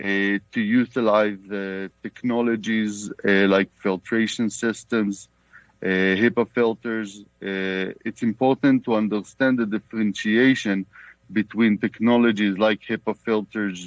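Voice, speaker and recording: A man with a heavy Israeli accent giving formal testimony over a video call, explaining things in a halting way. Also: fairly low pitch, dry recording. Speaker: company CEO giving testimony. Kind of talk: formal testimony at a remote hearing.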